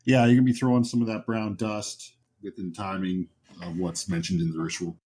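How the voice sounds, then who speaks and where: A man's voice: low voice, flat delivery, fairly quick, warm tone, slightly rough. A man acting as game master, explaining the setup of a tabletop horror game to players.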